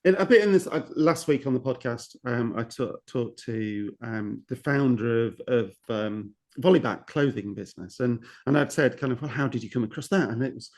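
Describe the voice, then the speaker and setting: A man's voice: medium pitch, moderate pace, few pauses, a little mellow. A man hosting a podcast interview, asking long, rambling questions full of restarts and repeated words. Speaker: podcast host.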